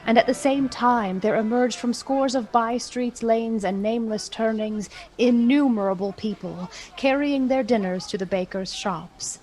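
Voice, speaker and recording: Woman narrating with theatrical, measured delivery. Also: fairly high pitch, darkish tone, rough recording. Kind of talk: scripted storybook narration for radio play.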